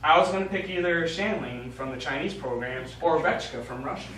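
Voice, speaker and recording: A man's voice: a little high, brisk, a bit bright, lightly acted. A man on stage playing a blunt space mission commander who frankly questions a member of his crew. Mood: blunt, challenging. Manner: frank, probing. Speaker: stage actor in a short play. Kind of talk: live stage play dialogue. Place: small theater studio, recorded from audience distance. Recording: echoey hall, distant mic, faint noise.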